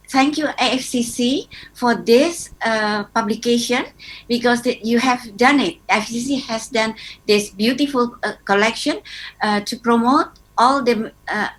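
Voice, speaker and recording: A woman giving a thank-you speech, halting often as she searches for her words. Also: a little high, fairly bright tone, poor audio. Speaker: guest speaker at a book launch.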